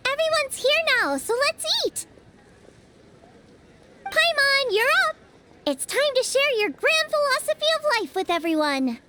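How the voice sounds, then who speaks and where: A woman's voice: extremely high pitch, very animated, measured pace, pauses often, heavy background noise, full character voice. A woman voicing a playful, bossy and spirited young woman in a video game. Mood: cheerful, urging.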